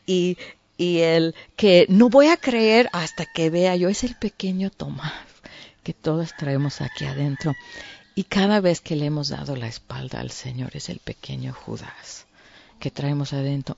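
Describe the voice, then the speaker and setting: A woman with a Mexican Spanish accent preaching earnestly to a women's group, with frequent fillers and the odd throat-clear. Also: low voice, a little mellow, muffled recording. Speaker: women's ministry speaker.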